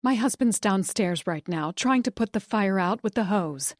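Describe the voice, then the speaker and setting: A woman voicing a panicking wife who shouts and sobs in a high, strained voice. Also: lively intonation, moderate pace, dry recording, studio quality, close to an everyday voice. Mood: anxious. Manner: shouting, frantic, high-pitched. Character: frantic panicking woman, wife watching disaster.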